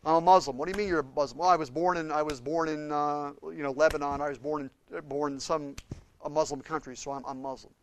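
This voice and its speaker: A man preaching a sermon with impassioned swings between loud and hushed delivery. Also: a little high, fairly even intonation, quick pace, slight background noise. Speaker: pastor.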